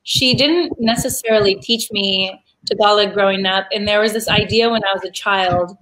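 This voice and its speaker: A woman's voice: mid-range voice, normal speed, a bit bright, a little hiss or hum, low-quality recording. A young woman from the United States, talking casually as an interview guest, chatty with many fillers and laughs.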